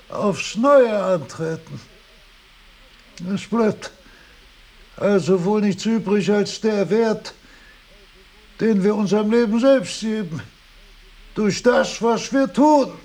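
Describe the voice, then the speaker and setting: Fussy old professor in a radio play, high creaky voice, slow and precise. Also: male voice, darkish tone. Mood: musing. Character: elderly philosopher Kant, pedantic, fussy, precise old man. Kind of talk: radio drama acting.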